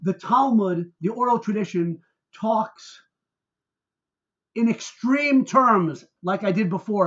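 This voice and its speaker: A man's voice: sits high, highs cut off. A man speaking as a rabbi in a sermon-like religious talk, emphatic and heated, stacking up adjectives with dramatic stress.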